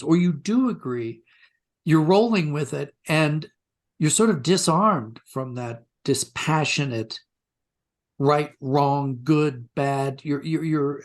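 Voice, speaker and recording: A man, a writer being interviewed, talking reflectively and haltingly as he rephrases his thoughts. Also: fairly high pitch.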